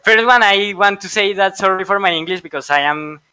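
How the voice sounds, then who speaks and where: A Colombian man whose first language is Spanish, speaking English in a Colombian accent, hesitant and halting as an interviewed sim racer. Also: sits high, bright, forceful tone, clean tone, no room sound. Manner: hesitant, halting with many fillers. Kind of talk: post-race interview.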